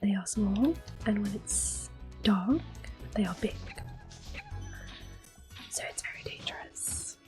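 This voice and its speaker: A woman speaks softly and breathily in an ASMR style, often slipping into a whisper. Her delivery is slow and gentle, at an ordinary pitch, as she comments on gameplay. Game music plays clearly behind her. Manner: gentle, unhurried. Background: soft video game music.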